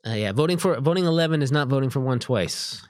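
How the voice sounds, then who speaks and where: A man's voice: medium pitch, expressive, fast talker, dry recording, clean studio sound. A man co-hosting a tabletop game stream, chatting casually and reading out options with loose, hesitant explanations. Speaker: co-host of a tabletop game livestream.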